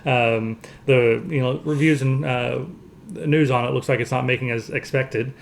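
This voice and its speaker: A man's voice: medium pitch, fairly even intonation, normal speed, gravelly, a little hiss or hum. A man co-hosting a podcast, chatting casually through a round-up of entertainment news. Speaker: podcast co-host. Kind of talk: casual box office round-up chat.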